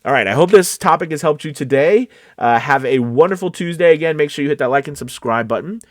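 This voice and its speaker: A man's voice: a little high, lively intonation, fast, no room sound, studio quality. A man talking casually and directly to viewers as a voice-over coach, stressing key words and often checking that they follow.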